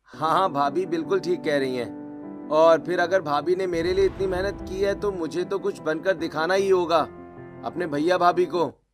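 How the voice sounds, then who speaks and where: A man's voice: a little high, normal speed, music in the background, lightly acted. A man voicing a grateful, respectful young student in a village family story. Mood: earnest. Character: grateful, eager young student brother-in-law. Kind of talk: scripted story dialogue.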